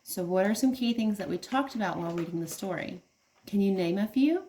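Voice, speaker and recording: A woman's voice: mid-range voice, lively intonation, brisk, dark tone, slight background noise. A woman teacher reading aloud to young children, explaining things simply and asking them questions as she goes. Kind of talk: children's read-aloud lesson.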